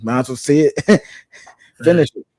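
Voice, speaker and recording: A man's voice: on the high side, moderate pace, darkish tone, no echo. A man in his thirties hosting a casual pop culture chat, laid-back and slangy, repeating himself for emphasis. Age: 30s.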